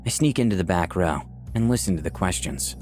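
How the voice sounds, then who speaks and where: A man's voice: low voice, lively intonation, fairly quick, darkish tone. A man playing an eager young tech fan who earnestly narrates his own story in a visual novel. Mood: relaxed, confident. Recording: music behind the voice.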